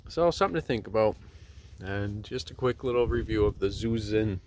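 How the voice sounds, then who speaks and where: A man giving a relaxed, chatty review outdoors in his backyard, with chuckles and fillers, filming on a handheld phone. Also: fairly low pitch, moderate pace. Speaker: homesteading vlogger. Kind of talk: casual outdoor product review.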